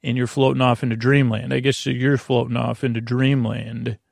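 A man hosting a sleep podcast as a bedtime storyteller, mumbling along in a halting, meandering, digressive monologue with a creaky voice. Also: medium pitch, flat delivery, a little mellow, no echo, clean studio sound.

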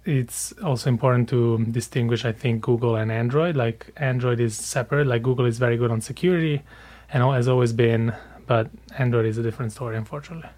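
A man's voice: mid-range voice, steady tone, quick pace, a little mellow. A man, a security reporter, explaining a topic informally with fillers. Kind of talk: explaining in conversation.

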